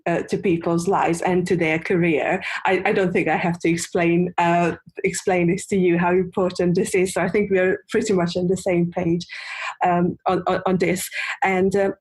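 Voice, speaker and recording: A woman from Hungary, a podcast guest, answering thoughtfully in a halting way with many repeated words. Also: fairly low pitch, fairly even intonation, darkish tone, breathy edge. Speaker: guest, UX designer. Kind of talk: casual interview answers.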